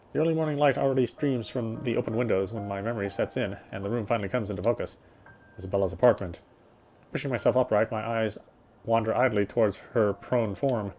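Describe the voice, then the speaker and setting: A man's voice: on the low side, darkish tone. Man narrating a horror visual novel. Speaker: reader.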